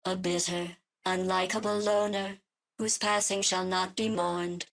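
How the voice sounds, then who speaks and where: A woman voicing a cold, murderous artificial intelligence in a video game, deadpan, clinical and mock-polite. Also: fairly low pitch, unhurried, vocal fry. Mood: deadpan menace. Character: cold, sardonic, murderous female artificial intelligence running tests.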